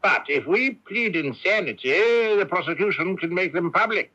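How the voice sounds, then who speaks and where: A fussy ambitious barrister, high n animated, theatrical, old muffled radio drama. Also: male voice, moderate pace, full character voice. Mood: cautious, evasive. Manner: pedantic, hedging, theatrical. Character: fussy, ambitious, evasive barrister explaining law. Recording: dull 1980s AM radio recording.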